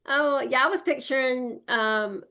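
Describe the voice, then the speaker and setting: Slow, high-voiced woman host. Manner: warm, laughing, encouraging. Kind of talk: casual interview chat.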